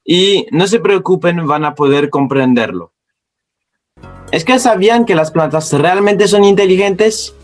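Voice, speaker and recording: Slow, non-native male student presenter. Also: high voice, soft background music. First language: not Spanish, speaks it as a second language. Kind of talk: scripted educational narration.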